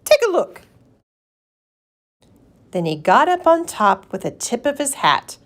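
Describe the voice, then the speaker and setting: A woman reading a children's story aloud with expressive, rhythmic, emphatic narration. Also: a little high, moderate pace. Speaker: storybook reader.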